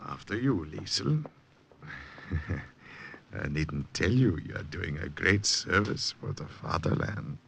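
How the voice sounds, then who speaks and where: Oily, suspicious wartime commandant, commanding and menacing with wide inflection, hissy vintage radio drama. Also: male voice, low voice, lively intonation, slow pace, vocal fry, long pauses, a bit bright, full character voice. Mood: oily menace. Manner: commanding, sinister. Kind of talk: 1950s radio drama acting. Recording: noisy vintage radio broadcast.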